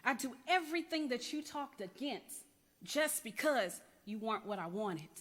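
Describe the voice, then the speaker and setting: A woman's voice: fairly high pitch, animated, normal speed, fairly bright tone, voice pushed up. A woman performing a dramatic church monologue as a rebellious young niece confessing to her aunt, in an emphatic, repetitive storytelling cadence. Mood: intense, haunted. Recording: echoey hall with long reverb.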